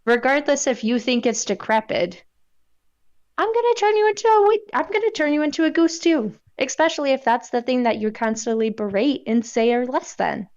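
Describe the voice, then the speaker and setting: Female tabletop player, somewhat high-pitched, sweary and opinionated, casual delivery, lo-fi sound. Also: lively intonation, moderate pace, a little mellow. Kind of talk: casual chat.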